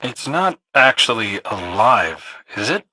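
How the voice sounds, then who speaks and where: An actor playing a wary, observant, troubled scout in a video game, with an understated, questioning delivery. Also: male voice, lowish voice, sing-song, a little slow, bright, forceful tone, husky, heavily acted, poor audio. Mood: wary, puzzled. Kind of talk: scripted video game dialogue.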